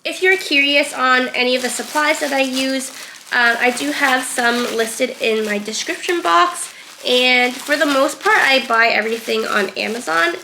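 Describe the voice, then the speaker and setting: A woman's voice: high voice, normal speed, bright, forceful tone, a little hiss or hum, poor audio. A young woman vlogger getting over a cold, chatting casually about items she has sold. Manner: chatty, with frequent "um" and "like". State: recovering from a cold. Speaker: reseller vlogger. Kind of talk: casual vlog narration.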